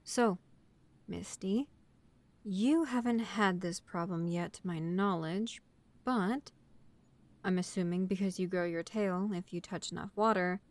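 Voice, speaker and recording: A woman's voice: fairly low pitch, unhurried, natural voice. A woman playing an exasperated, bossy young vampire college student in a scripted roleplay audio drama, talking in an organized, matter-of-fact way like someone chairing a meeting. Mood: organized, considerate.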